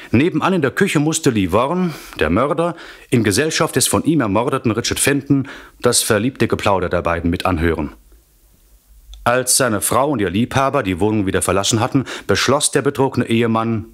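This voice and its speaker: Low-voiced wry male radio narrator. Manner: wry, deliberate, dramatic pauses and emphasis. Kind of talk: scripted storytelling recap of a radio play.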